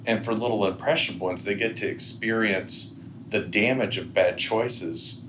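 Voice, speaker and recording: American man, deep pitch, podcast guest, reflective discussion, noticeably noisy background. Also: moderate pace. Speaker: podcast guest, head of school.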